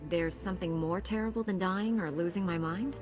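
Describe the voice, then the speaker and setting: A woman's voice: lowish voice, brisk, music in the background, lightly acted. A woman playing an earnest, steadfast Jedi knight in a video game, composed and sincere. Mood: calm resolve.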